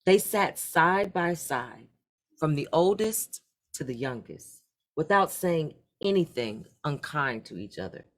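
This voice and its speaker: Female storyteller, deep voice, very animated range, dramatic read-aloud of a children's folktale. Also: slow. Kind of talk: scripted children's folktale narration.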